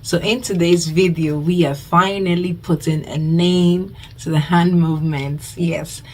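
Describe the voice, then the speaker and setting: A young Nigerian woman in her twenties talks straight to her camera in a casual vlog. Her voice sits low for a woman. She chats warmly and loosely, circling back and repeating phrases as she goes. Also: steady tone, measured pace. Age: 20s. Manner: chatty, repeats herself, thankful. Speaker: budgeting vlogger.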